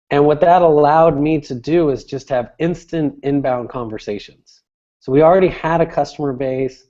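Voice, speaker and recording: A man with a mellow, soft-edged voice at a medium pitch answers as an interview guest. He explains things conversationally, restating phrases as he goes. The audio is slightly dull and lo-fi. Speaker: interview guest, sales director.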